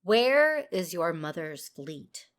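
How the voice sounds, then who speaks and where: A woman's voice: lowish voice, very animated, takes it slowly. A woman playing a stern, commanding Jedi Master aunt in an audio drama, speaking formally and demandingly. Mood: stern, cold.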